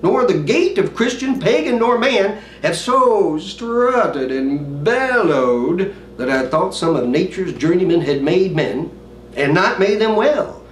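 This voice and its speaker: A man playing an earnest, brooding prince, reciting a Shakespearean monologue in a declamatory way with weighty pauses. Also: sits high, lively intonation. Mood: earnest, impassioned. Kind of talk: dramatic Shakespearean stage monologue. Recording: roomy, distant mic, faint noise.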